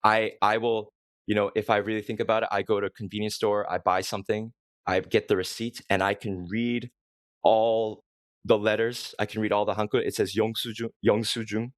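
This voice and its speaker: A man's voice: fairly low pitch, little pitch movement, brisk, dry recording, studio quality. A man with a Korean American accent, talking earnestly and at length as a podcast host. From United States. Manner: earnest, long-winded, laughs easily. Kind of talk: casual podcast conversation.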